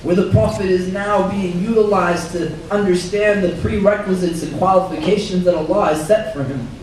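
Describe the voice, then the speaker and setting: A hoarse man speaks as an imam at a dinner, measured and reflective, reciting Arabic passages. His slightly high voice sounds distant in a very roomy hall, with faint noise behind him. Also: steady tone, darkish tone. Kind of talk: religious talk at a dinner. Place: banquet hall.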